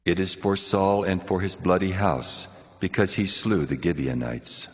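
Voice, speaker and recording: An actor playing a solemn, stern God in a dramatized scripture, grave and authoritative. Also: male voice, low voice, lively intonation, measured pace. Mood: solemn judgment. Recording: long cavernous reverb.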